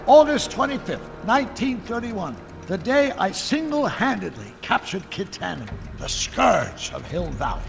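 Stern elderly scientist-ruler, animated game. High, swooping delivery, formal and grandiose, over background music. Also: male voice, a little slow, vocal fry, few pauses, long reverb tail, exaggerated voice. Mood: pompous, proud. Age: older. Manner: pompous, formal, theatrical diction. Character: elderly, stern, autocratic scientist ruling an orderly town. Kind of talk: animated video game acting. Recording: music behind the voice.

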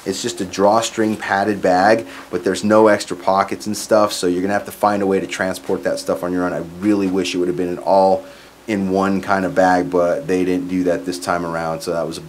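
Male, 40s, lowish voice, informal musician reviewing live sound gear, clear background noise on the recording. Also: level tone, moderate pace. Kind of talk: casual product demo. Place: small curtained home studio, indoors. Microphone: microphone on boom stand into PA, plus some room sound.

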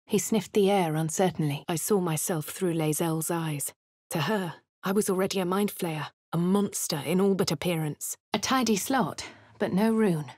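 An actress voicing a posh young woman adventurer in a game, speaking in a posh English accent and swinging between quiet whispers and sharp cries, recorded close in a studio. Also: lowish voice, moderate pace, no echo, close to an everyday voice. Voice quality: crisp upper-class delivery. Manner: varied reading, from soft whispers to sharp shouts. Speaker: player character. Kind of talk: game voice lines, first-person narration. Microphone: close studio mic.

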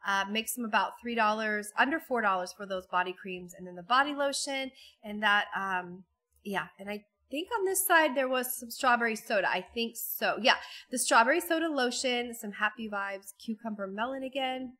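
A woman's voice: fairly high pitch, normal speed, sharp tone. Chatty woman with a failing voice. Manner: chatty, frequent ums and little laughs. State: losing her voice. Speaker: vlogger. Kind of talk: casual store walkthrough. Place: busy retail store, indoors. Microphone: handheld phone camera.

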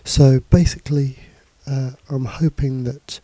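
Male tutor, stuffy from a cold, very dark and husky tone, relaxed and halting delivery, dry close sound. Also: mid-range voice, dry recording. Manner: casual, hesitant with fillers and restarts. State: has a cold, bunged up. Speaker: computing teacher. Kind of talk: screencast tutorial. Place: indoors, voice-over on slides and screen recording.

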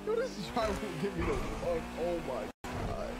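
A man's high, animated voice with loud music behind it. He streams a role-play game, talking in brash, confrontational slang full of swearing. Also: moderate pace, gravelly.

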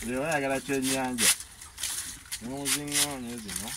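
A man's voice: mid-range voice, unhurried. A middle-aged Ugandan man talking casually to viewers as he cooks outdoors, mixing Luganda and English. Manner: mixes Luganda and English, talks to viewers while working. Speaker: homestead cook and channel host. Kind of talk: casual cooking vlog commentary. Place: outdoors at a rural homestead cooking over a wood fire. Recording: outdoor recording with clear background noise. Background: rural outdoor ambience.

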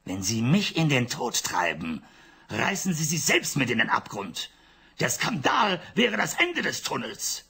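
A man's voice: mid-range voice, wildly swooping pitch, measured pace, creaky, pushed and bright, breathy edge, exaggerated voice. An actor playing a cornered banker who pleads and tries to excuse his losses, in a German radio play. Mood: desperate. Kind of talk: 1980s German radio drama acting.

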